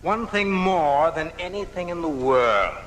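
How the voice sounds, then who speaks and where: An actor playing a bitter, envious failed writer with murderous intent in a live radio drama, intense and menacing. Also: male voice, on the high side, lively intonation, a little slow, fairly bright tone, slight background noise. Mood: bitter, obsessed. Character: envious, hateful failed male writer bent on killing. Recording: off-air 1980s radio recording.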